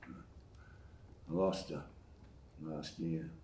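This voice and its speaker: An actor reading an older widowed farmer at a live table read, calm and protective, speaking slowly and steadily, heard from far off in an echoey theatre. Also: male voice, a bass voice, slight background noise, full character voice. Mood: gentle. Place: theatre stage.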